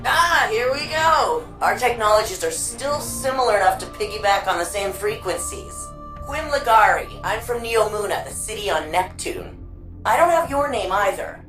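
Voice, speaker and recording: A woman playing a chatty, curious and warm archivist in a sci-fi video game, with an informal, playful, flirty way of talking. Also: mid-range voice, lively intonation, moderate pace, music in the background, lightly acted. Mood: excited, curious. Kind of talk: naturalistic video game dialogue.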